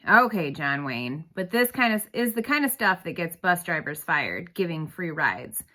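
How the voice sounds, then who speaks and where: A woman voicing a gruff, grudgingly kind male bus driver in a storybook read-aloud, with a brusque, wry, grumbling manner. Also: lowish voice, big pitch swings, normal speed, sharp tone, pitched below the performer's own voice, exaggerated voice.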